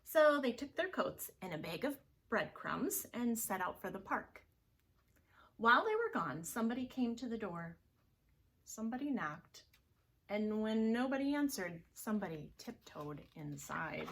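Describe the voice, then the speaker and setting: A woman reads a picture book aloud as a preschool teacher would. Her delivery is slow and expressive, with animated intonation aimed directly at small children. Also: a little high.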